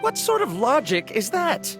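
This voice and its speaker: A man's voice: very high-pitched, huge pitch swings, measured pace, full character voice. A man playing a proud, stubborn scholar with a formal, lecturing manner in a video game. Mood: dismissive. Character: proud, bitter, stubborn male scholar obsessed with results. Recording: music behind the voice.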